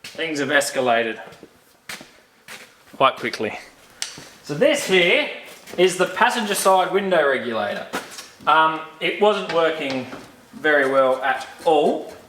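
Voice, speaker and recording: A man's voice: fairly high pitch, sing-song, slow pace, pauses often, pushed and bright, a little hiss or hum. A man, casually talking while he works on a car in a garage, rambling and correcting himself as he goes. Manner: rambling, self-correcting, offhand. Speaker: car restoration vlogger.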